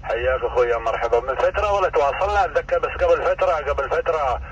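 A man's voice: a little high, rapid-fire. A man with a Gulf Arabic accent speaking over two-way radio, chatting casually and courteously as an amateur operator. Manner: courteous, welcoming, repeats phrases. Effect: two-way radio transmission. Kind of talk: casual ham radio exchange. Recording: muffled, distant radio speaker, faint noise.

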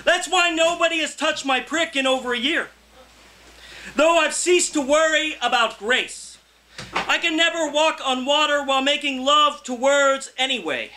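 High-pitched, forceful man declaiming poetry. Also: normal speed, poor audio. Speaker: poet. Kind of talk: live poetry reading, declaimed. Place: indoor cafe reading before a small audience.

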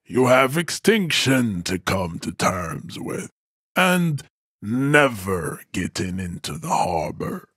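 Arrogant supremacist brute, pompous lecturer, unhurried, slightly husky, dry studio sound, contemptuous, video game character. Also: male voice, fairly low pitch, big pitch swings, fairly bright tone, no room sound, heavily acted. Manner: pompous, declamatory, formal lecturing delivery. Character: imposing, arrogant pseudo-scientific supremacist strongman, grandiose and contemptuous.

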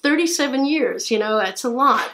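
A woman's voice: high voice, expressive, moderate pace, fairly bright tone. A woman in her sixties chatting on a sofa at home, thoughtful and rambling, restarting her sentences as she talks. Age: 60s. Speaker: co-host, partner. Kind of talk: casual chat. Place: indoors, living room sofa.

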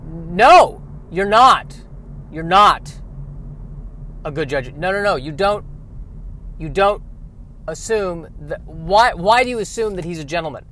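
Casting: male actor for anxious, sarcastic straight man, stammering and exasperated, slightly high voice, radio comedy. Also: expressive, slow pace, long pauses, bright, forceful tone, full character voice. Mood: incredulous. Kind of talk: comedic sci-fi radio play acting. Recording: noisy recording.

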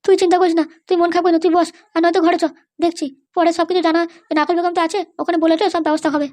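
A very high, shrill voice from a woman plays an aggrieved cartoon mother-in-law. She scolds and complains at a breakneck clip, full of scheming grievance. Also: flat delivery, rapid-fire, a little mellow. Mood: reassuring. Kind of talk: exaggerated cartoon dialogue.

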